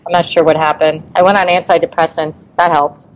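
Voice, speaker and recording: A woman in her forties, a guest on a podcast, talking in a fairly low voice. She speaks frankly and thoughtfully, often hedging with "I mean" and "like" as she works through her ideas. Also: little pitch movement, fairly quick, slight background noise, low-quality recording. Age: 40s. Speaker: podcast guest, nonprofit founder. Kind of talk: casual interview chat.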